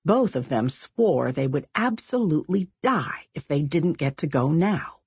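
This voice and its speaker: A woman reading a novel as an audiobook narrator, with measured, expressive storytelling. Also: low voice, husky, no room sound, studio quality. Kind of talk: scripted literary narration.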